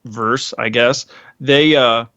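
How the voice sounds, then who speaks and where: A man's voice: mid-range voice, steady tone, takes it slowly, sharp tone, smooth, dry recording, muffled recording. A man speaking as a podcast co-host in casual, enthusiastic, rambling fan chat.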